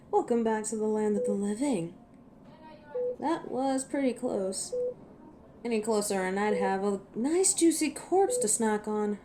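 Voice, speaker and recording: A woman's voice: medium pitch, animated, unhurried, long pauses, dark tone, slight background noise, close to an everyday voice. A woman playing a cocky, teasing werewolf in a scripted audio roleplay, sardonic and protective with an edge of menace, speaking to the listener. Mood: teasing, relieved. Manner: sardonic, taunting, playful.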